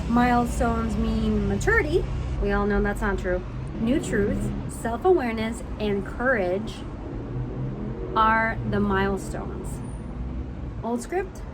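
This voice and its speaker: A woman's voice: on the high side, background noise. A woman in her thirties giving an emphatic, encouraging motivational talk, calling listeners honey and y'all. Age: 30s. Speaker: vlogger.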